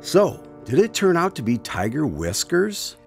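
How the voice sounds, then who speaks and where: A man's voice: fairly high pitch, very animated, measured pace, gravelly, heavily acted. A man acting as the polite, attentive host of a radio drama, putting questions to a storyteller. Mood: curious, courteous. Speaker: host, announcer. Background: music.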